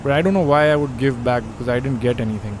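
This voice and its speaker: A young man with an Indian accent chats loosely on a live stream, cracking jokes and thinking out loud as he goes. His voice sits at an ordinary pitch. A steady hiss of background noise runs under him. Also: fast talker. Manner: joking, thinking aloud while flying. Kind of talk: casual live-stream chat.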